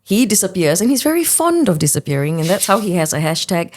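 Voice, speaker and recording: A woman's voice: fairly low pitch, big pitch swings, fast talker, a little hiss or hum. A woman, a paranormal investigator telling stories confidently in a casual podcast chat. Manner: storytelling, confident, self-described loud voice.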